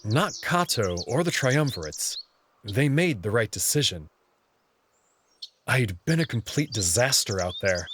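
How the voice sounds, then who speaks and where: A man reading a visual novel's first-person narration aloud, with an earnest, expressive storytelling delivery. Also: mid-range voice, unhurried, background noise.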